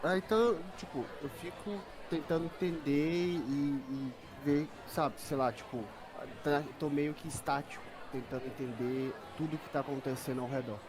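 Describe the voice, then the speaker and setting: A Brazilian man playing a tabletop RPG, describing his character's actions in halting, casual first person. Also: on the high side, steady tone, a crowd in the background. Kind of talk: improvised first-person roleplay in casual talk.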